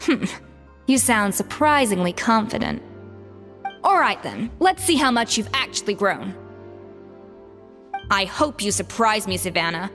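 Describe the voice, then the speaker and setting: A woman's voice: mid-range voice, expressive, a little slow, pauses often, fairly bright tone, soft background music. A woman voicing a confident, exacting young chef in a video game, composed and precise in manner. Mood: cool, challenging.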